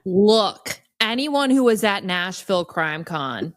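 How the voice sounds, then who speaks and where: A woman chatting casually as a podcast co-host, telling things in an animated way with wry exaggeration. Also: mid-range voice, slow, no echo, studio quality.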